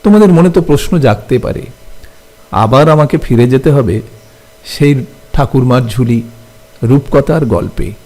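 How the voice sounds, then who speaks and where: A man teaching literature to students, speaking in deliberate short phrases and often posing questions as he explains. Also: medium pitch, animated, a little mellow.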